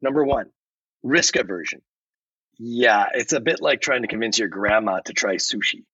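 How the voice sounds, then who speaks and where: A man voicing a helpful, upbeat AI chatbot guest, explaining points in a measured, scripted way. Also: a bit bright, husky, exaggerated voice. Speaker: podcast guest. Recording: roomy, muffled low-fidelity recording.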